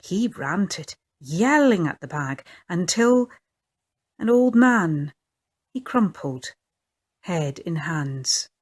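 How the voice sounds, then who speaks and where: A woman's voice: on the low side, very animated, drawn-out words, husky. A woman reading her own picture book aloud in a lilting storytelling manner. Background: faint fiddle music.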